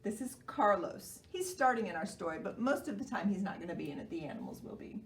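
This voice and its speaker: An American woman presenting a children's storytime in an animated way, explaining simply and asking young children questions. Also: medium pitch, quick pace. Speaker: children's library storytime host. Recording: roomy, distant microphone, faint noise.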